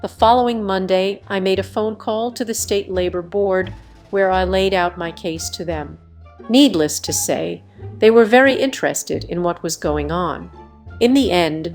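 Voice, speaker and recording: A woman reading online stories aloud in a scripted narration style. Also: medium pitch. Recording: background music under the voice. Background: music.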